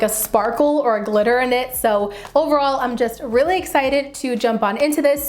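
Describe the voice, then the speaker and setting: A woman beauty vlogger chatting casually and enthusiastically to camera, with a slightly dry, raspy voice from getting over the flu. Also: a little high. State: getting over the flu, mild sore throat. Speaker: beauty vlogger, channel host.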